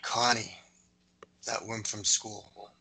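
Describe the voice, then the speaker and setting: A man playing a smug, sharp-voiced schoolmate. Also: fairly low pitch, flat delivery, slow, gravelly, husky, exaggerated voice, poor audio. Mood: smug, mocking. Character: Bert, smug taunting schoolmate bully.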